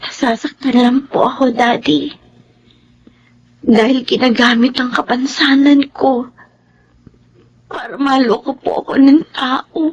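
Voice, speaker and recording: Casting: gentle blind Filipina daughter, very high voice, tearful yet firm, radio drama. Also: female voice, steady tone, unhurried, pauses often, husky, muffled recording, exaggerated voice. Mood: sad.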